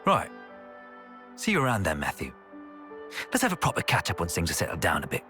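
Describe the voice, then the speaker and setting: An actor playing an ambitious, friendly comrade in a video game cutscene. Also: male voice, sits low, wildly swooping pitch, brisk, pushed and bright, exaggerated voice. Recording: clear music behind the voice.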